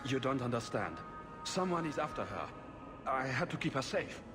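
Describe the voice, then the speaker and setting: Desperate innocent man played by a male actor, agitated and animated, game drama in long reverb with score. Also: mid-range voice, moderate pace, lightly acted. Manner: agitated, pleading. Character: desperate, pleading man protesting his innocence. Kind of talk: video game voice acting. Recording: long hall reverb, music behind.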